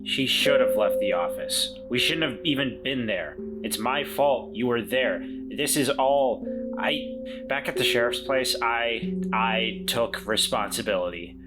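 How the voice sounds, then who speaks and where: A man's voice: mid-range voice, measured pace, loud music behind the voice. A man playing a tabletop game, talking earnestly in character and stumbling over restarts. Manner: earnest, self-blaming, stammering restarts. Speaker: player.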